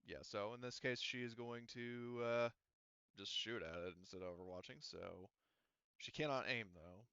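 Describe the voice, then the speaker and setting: A man's voice: mid-range voice, sharp tone, clear, resonant tone, no room sound. Casual man running a game, slightly dull audio. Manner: casual, halting delivery while working out rules. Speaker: game master. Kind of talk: running an online tabletop roleplaying game, narrating combat and thinking aloud.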